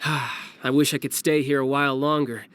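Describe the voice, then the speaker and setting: A man playing a breezy, cunning young fighter in a video game, smooth-talking and nonchalant. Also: medium pitch, steady tone, normal speed, fairly bright tone, slight background noise, close to an everyday voice. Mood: wistful. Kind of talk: video game cutscene voice acting.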